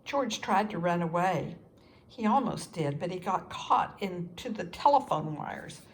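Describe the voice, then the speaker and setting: A woman reading a children's story aloud as scripted narration, expressive and with deliberate pauses for young listeners. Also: fairly low pitch, slight background noise. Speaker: storybook reader.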